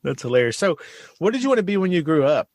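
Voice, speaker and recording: A man's voice: a little high, very animated, quick pace, darkish tone, no room sound, clean studio sound. A man from Texas hosting a casual podcast interview, jokey and self-deprecating. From San Antonio, Texas. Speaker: podcast host.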